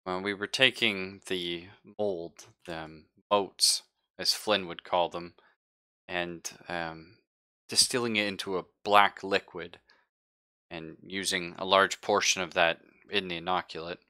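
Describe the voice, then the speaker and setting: Male game master for tabletop roleplay, low register, slow and measured, improvised in-character delivery. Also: fairly even intonation, sharp tone, smooth.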